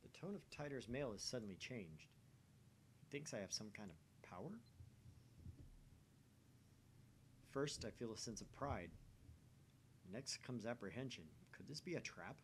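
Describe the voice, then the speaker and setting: A man's voice: medium pitch, expressive, normal speed, a little hiss or hum, natural voice. A man voicing a theatrical, grandiose and paranoid young self-styled mad scientist from a visual novel, in suspicious monologue. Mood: suspicious, brooding.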